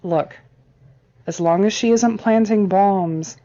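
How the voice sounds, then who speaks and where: Woman playing a mellow, patient library colleague. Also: medium pitch, close to an everyday voice. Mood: weary, placating. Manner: measured, placating. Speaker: actor in an audio drama. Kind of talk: fiction podcast acting. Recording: slightly dull, lo-fi sound.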